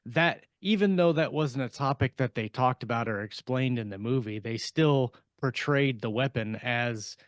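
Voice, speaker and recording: A man co-hosting a podcast in casual discussion, explaining things haltingly as he thinks aloud. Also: medium pitch, dry recording. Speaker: podcast co-host and fiction writer.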